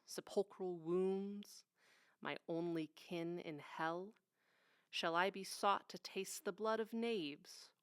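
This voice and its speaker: A woman reads solemn poetry with deliberate drama. Her voice is fairly low and smooth, and she takes her time. The sound is close and dry. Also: no room sound. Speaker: poetry reader.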